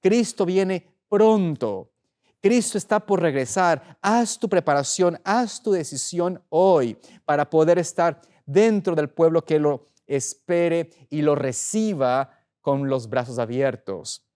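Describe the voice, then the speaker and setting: A middle-aged man preaching as a pastor in an earnest, deliberate way, pausing for emphasis. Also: fairly high pitch, darkish tone. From Ecuador. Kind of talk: sermon, opening with prayer.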